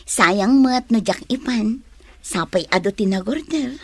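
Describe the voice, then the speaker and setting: A woman's voice: a little high, a little slow, darkish tone, husky, no echo. A woman with an Ilocano accent acting a hardworking, hurting young single mother, earnest and stubbornly determined, in an audio drama. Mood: tired but reassuring.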